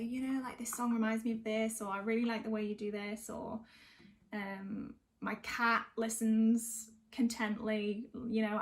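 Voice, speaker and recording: A young woman from North West England, a singer-songwriter, chatting casually between songs in a hesitant, reflective, rambling way. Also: a little high. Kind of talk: casual chat between songs at an online gig.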